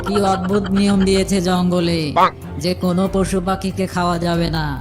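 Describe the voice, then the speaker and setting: A man playing a proud, hot-tempered big cat in a children's cartoon, gruff and boastful. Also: sits high, little pitch movement, brisk, over loud music, natural voice. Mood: grumbling, boastful.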